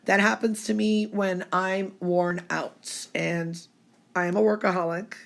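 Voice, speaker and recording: Hoarse woman with a gravelly voice. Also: medium pitch, fairly even intonation, a little slow. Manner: chatty, reassuring, keeps saying okay. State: hoarse from laryngitis. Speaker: psychic reader. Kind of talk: tarot-style weekly reading.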